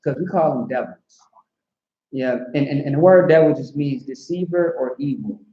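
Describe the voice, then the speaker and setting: Man, scripture teacher, emphatic, repetitive, slightly high voice, roomy dull recording. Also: expressive, normal speed, mellow. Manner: emphatic, repetitive, asks "see what I'm saying". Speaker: class instructor.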